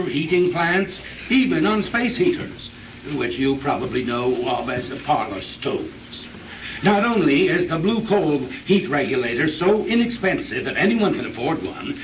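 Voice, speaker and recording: Casting: man as 1930s radio ad spokesman, scripted sales delivery, lo-fi with faint noise. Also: on the high side. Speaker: advertising spokesman. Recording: dull, distant, noisy old radio recording.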